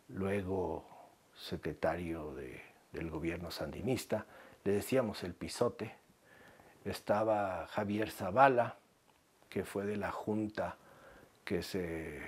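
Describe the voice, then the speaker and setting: A man in his seventies with a Guatemalan accent, recalling his life in a measured interview with halting pauses. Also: sits low, husky. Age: 70s. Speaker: researcher telling his life story. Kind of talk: reflective interview narration. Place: small book-lined room indoors.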